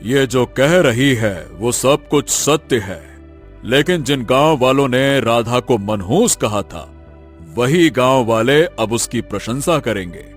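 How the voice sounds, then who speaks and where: A man plays a solemn hermit sage in a children's storybook tale. He makes grave pronouncements at a slow pace, with music underneath. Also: medium pitch, animated. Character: solemn, all-knowing male forest sage.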